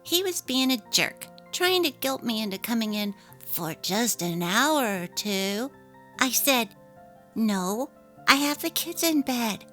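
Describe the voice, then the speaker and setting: Woman playing young wife and mother, high bright voice, emphatic, heartfelt, dramatic story reading, faint music. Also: very animated, slow pace, full character voice. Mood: indignant. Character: earnest young wife and mother, fed up but resolute.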